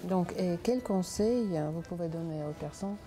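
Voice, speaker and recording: A woman's voice: fairly low pitch, moderate pace, warm tone, soft background music. A woman hosting a TV morning show, asking short interview questions in French. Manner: brief prompting questions and backchannels. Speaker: TV show host.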